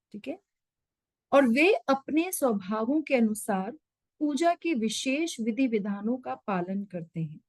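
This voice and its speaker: A woman teaches a scripture class over a video call, slipping English words into her explanations and working through simple everyday examples. Her voice sits fairly high and sounds dry and close, with almost no room around it. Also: a little mellow, no room sound, poor audio. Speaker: Gita study session leader.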